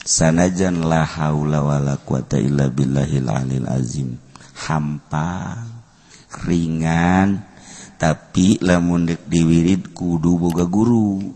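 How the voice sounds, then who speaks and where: An older man with a Sundanese accent giving a humorous sermon, telling animated stories, breaking into laughter and shouts. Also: extremely low pitch, takes it slowly. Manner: animated storytelling, shouting and laughing at his own jokes. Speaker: Islamic preacher.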